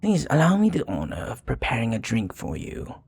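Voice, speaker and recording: A man playing a flirty, charming vampire bartender in an intimate ASMR roleplay, teasing softly and unhurriedly with breathy murmurs and hesitations. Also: wildly swooping pitch, a little fast, soft background music, full character voice. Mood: friendly, easygoing. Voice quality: low, husky, breathy.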